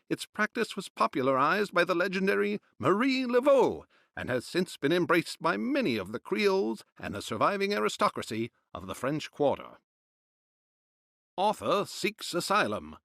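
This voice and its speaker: An actor playing a formal period newsreader, reading newspaper reports in a declamatory narrating style. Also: male voice, on the high side, creaky, fairly bright tone, dry recording, clean studio sound, full character voice. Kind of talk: scripted news narration.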